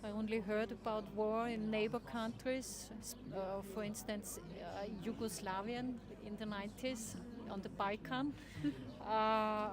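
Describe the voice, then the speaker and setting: Slow, hesitant woman in her sixties. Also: medium pitch, fairly even intonation. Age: 60s. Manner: slow, thoughtful, hesitant with fillers. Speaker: festival participant, parent activist. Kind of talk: street interview. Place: outdoor festival square. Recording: crowd noise outdoors.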